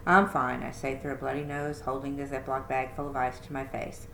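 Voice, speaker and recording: A woman reading a children's novel aloud in an expressive first-person storytelling style, like a librarian. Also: rumbling low, fairly quick, creaky. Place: indoor room, roomy sound. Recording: echoey room with faint noise.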